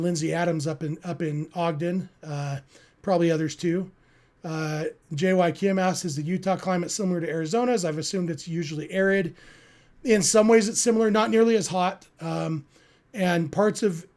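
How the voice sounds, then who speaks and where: A man's voice: a little high, darkish tone. An American man from California hosting a sports podcast, chatting casually and earnestly as he answers listeners. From California, United States.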